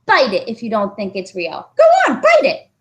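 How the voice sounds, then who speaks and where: Insistent young schoolgirl, played by a woman, excitable, high and very animated, children's story. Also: moderate pace.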